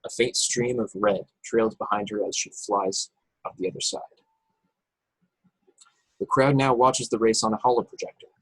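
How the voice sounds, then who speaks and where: A man's voice: on the low side, moderate pace, pauses often, a bit bright, husky, no echo, rough recording. A man narrating stage directions from a script at a table read over a video call. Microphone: video call, close and dry.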